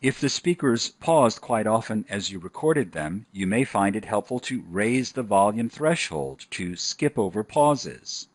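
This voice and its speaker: A man with a fairly low, husky voice delivers scripted instructional narration. The sound is dry and close, as in a software tutorial. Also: lively intonation, unhurried, few pauses, no echo.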